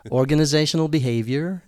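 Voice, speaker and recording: A man's voice: fairly high pitch, moderate pace, mellow, dry recording. An American man chatting as a guest, explaining things at length and laughing often. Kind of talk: casual interview chat.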